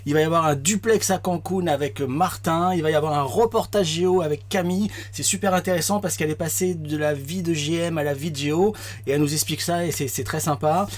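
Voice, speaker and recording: Un homme qui présente une émission en direct, sur un ton bavard et familier, avec des hésitations. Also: male voice, a little high, steady tone. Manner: chatty, quick, with fillers. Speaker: host. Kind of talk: casual live show hosting.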